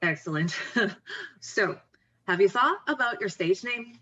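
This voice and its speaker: A woman's voice: medium pitch, expressive, a little slow, fairly bright tone, slightly rough, highs cut off. A woman playing an upbeat, pushy, encouraging young best friend in a screenplay table read, talking casually and emphatically. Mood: warm, eager.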